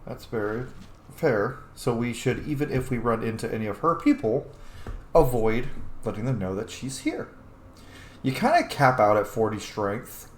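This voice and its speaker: A man's voice: medium pitch, big pitch swings, normal speed. A man hosting a roleplay playthrough of a video game, chatting informally and casually. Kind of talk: casual let's-play commentary. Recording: roomy echo, faint background noise.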